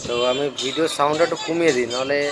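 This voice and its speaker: A man's voice: on the high side, fairly even intonation, rapid-fire, music in the background. A man talking casually to viewers in a home vlog, often repeating short phrases. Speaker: family vlogger. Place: at home with a small child.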